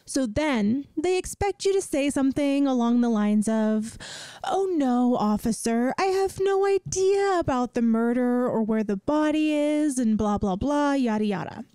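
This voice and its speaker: A woman's voice: sits high, animated, a little slow, dark tone, clear, resonant tone, no room sound, studio quality. A woman playing a bubbly, inept, lovestruck young yandere student in an audio roleplay, rambling and pleading dramatically with the listener. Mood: exasperated, rambling. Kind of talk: scripted ASMR audio roleplay, addressing the listener.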